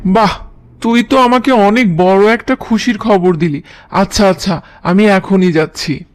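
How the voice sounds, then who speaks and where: A man's voice: high-pitched, a little slow. A man voicing a greedy, gullible lion king in a children's cartoon, talking down to others in a bossy way. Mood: pleased, gullible. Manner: imperious, talks down to others. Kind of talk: children's cartoon story dialogue.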